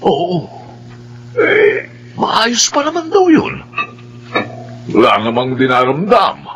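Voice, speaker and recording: Animated, folksy older Filipino man. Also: sits high, slow, heavily acted. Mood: gossipy. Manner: exclamatory, folksy. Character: fretful, folksy sixty-year-old village man, chatty. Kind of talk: Filipino radio drama acting.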